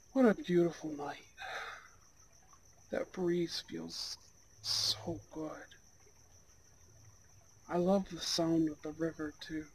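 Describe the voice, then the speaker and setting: Male actor as young Goth blind date: higher register, slow delivery, sincere, hesitant, intimate ASMR roleplay. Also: slight background noise, rough recording. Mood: relaxed, reflective. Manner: soft-hearted, hesitant, sincere.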